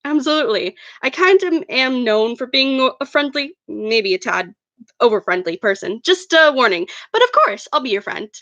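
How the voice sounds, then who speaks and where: A woman's voice: high-pitched, very animated, normal speed, a bit bright, dry recording, dull audio, exaggerated voice. A woman voicing a friendly, eager, nosy teenage girl in a chatty, polite manner for an amateur story reading. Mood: warm, eager.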